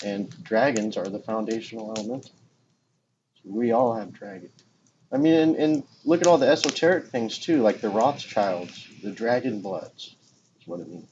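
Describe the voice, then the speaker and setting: A man with a slightly deep voice talks informally, as in a relaxed spiritual conversation. His phrasing is loose and conversational. The sound is dull and clearly noisy. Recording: dull lo-fi recording with clear background noise.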